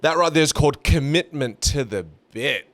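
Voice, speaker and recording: A man whose first language is Teochew, teaching communication skills in an online English lesson with an animated, jokey delivery. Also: on the high side, moderate pace, soft background music.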